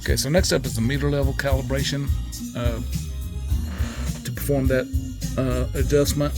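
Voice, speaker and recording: A man giving a casual hands-on technical tutorial in a methodical, matter-of-fact way, pausing as he goes. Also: medium pitch, fairly even intonation, over loud music. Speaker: presenter demonstrating equipment calibration.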